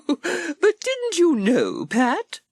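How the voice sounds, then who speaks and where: A woman playing a jolly, brisk older village lady in a children's audiobook, hearty and exclaiming. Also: very high voice, wildly swooping pitch, creaky, darkish tone, dry recording, clean studio sound, exaggerated voice. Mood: amused. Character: Miss Hubbard, jolly, brisk village spinster. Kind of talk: acted children's audiobook dialogue.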